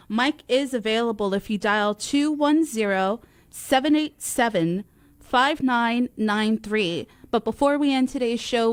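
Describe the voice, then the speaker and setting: A woman's voice: a little high, clear, resonant tone, no room sound. A woman co-hosting a radio talk show, addressing listeners and making announcements. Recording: dry studio sound with music underneath.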